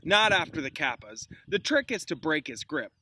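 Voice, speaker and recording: A man reading a storybook as a kindly, thoughtful wizard teacher, in a gentle conversational manner, recorded outdoors. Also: on the high side, very animated, moderate pace. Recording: clearly noisy outdoor recording.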